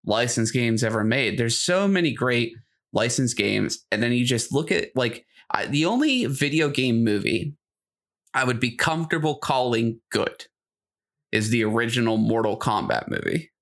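Opinionated man with a mid-pitched voice. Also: lively intonation, normal speed, gravelly, a bit bright. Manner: opinionated, conversational. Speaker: podcast co-host. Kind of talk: casual podcast discussion.

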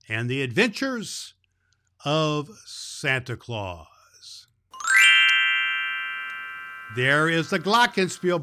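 A man's voice: medium pitch, bright, forceful tone. A man playing a kindly old Santa Claus who hosts a children's Christmas show, speaking cheerfully and emphatically in a folksy, fatherly way. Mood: gentle, storytelling, then cheerful, informing. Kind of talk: storytelling and chat addressed to children.

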